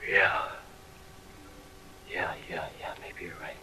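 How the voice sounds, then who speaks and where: A man's voice: low voice, steady tone, slow pace, bright, forceful tone, slight background noise, full character voice. An American actor playing a desperate, paranoid small-time gangster in a 1940s radio drama, with slangy tough-guy talk. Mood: frightened pleading. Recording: vintage 1940s radio broadcast recording.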